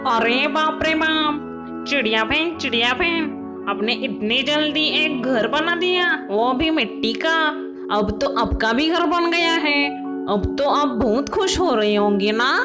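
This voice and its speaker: A woman voicing a blunt, dramatic forest bird in a moral-story cartoon, with exaggerated, drawn-out exclamations. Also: high-pitched, expressive, over music. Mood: amazed, flattering.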